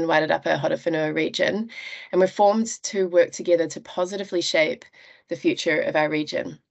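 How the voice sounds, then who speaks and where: A woman introducing a speaker as host of a webinar, in a measured, formal way, on a video call. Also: lowish voice. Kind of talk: scripted introduction.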